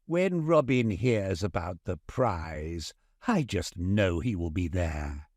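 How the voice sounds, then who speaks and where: A man's voice: low voice, very animated, slow pace, a little mellow, dry recording, clean studio sound, pitched below the performer's own voice, exaggerated voice. A man voicing a scheming, smug sheriff, the villain of a children's tale, in a sly and self-satisfied manner. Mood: confident.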